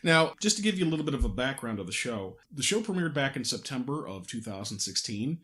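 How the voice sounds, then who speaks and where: A man's voice: medium pitch, sing-song, fast talker, a bit bright. Jokey man hosting a casual podcast. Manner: jokey, self-deprecating, hesitant with false starts. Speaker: podcast host and audio drama creator. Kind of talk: casual behind-the-scenes podcast chat.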